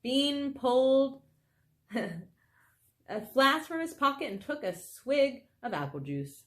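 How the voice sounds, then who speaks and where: A woman reading a children's story aloud in a dramatic, expressive way. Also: high-pitched, takes it slowly. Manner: animated, dramatic, with exclaimed asides to listeners. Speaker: storybook reader.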